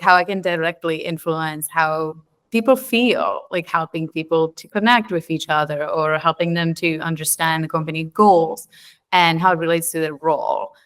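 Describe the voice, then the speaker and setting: A woman's voice: fairly low pitch, a bit bright, clear, resonant tone. A woman in her thirties with a Czech accent, a podcast guest chatting casually and filling her talk with "like" and "um". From Czech Republic. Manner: chatty, many fillers like "like" and "um". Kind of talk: casual interview chat.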